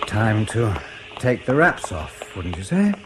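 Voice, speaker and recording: Suave, low-voiced interrogator. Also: male voice, very animated, slow, very noisy. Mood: brisk, satisfied. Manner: clipped, polite, understated. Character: suave male British intelligence interrogator, mild but needling. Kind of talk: radio drama acting.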